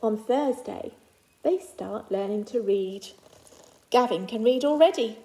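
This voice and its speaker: A woman's voice: fairly high pitch, measured pace, dark tone, poor audio. A woman teacher reading a children's picture book aloud to young pupils, phrasing it simply and adding reassuring asides in her own words.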